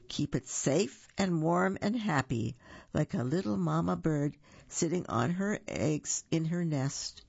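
A storybook reader voicing a sly, fast-talking fox salesman with an excited, salesy patter. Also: medium pitch, gravelly, husky, muffled recording, heavily acted.

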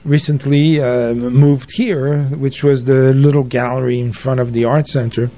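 A man with a soft, mellow voice answers in an unscripted radio interview. His pitch sits in the middle for a man, and he often pauses and restarts as he looks for the right word. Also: fairly even intonation. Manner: halting, searching for words. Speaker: guest, community radio and arts centre founder. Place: radio station.

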